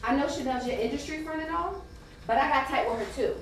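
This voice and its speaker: A woman's voice: high voice, fast. A woman playing a brash, loyal street-wise friend in a stage play, slangy and outspoken. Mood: hurt, confrontational. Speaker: stage actor. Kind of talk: live 1990s-set stage play dialogue. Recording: distant, echoey, noisy stage recording.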